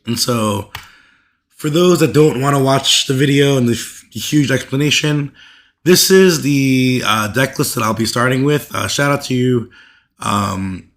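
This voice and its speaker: Male host, casual deck talk, mid-pitched, voice worn thin by allergies, loose delivery with lots of fillers. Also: measured pace, a bit bright. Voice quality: voice rough and fading. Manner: loose, filler-heavy, with frequent ums and uhs. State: losing his voice from allergies. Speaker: card game content creator. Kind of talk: casual deck overview to camera.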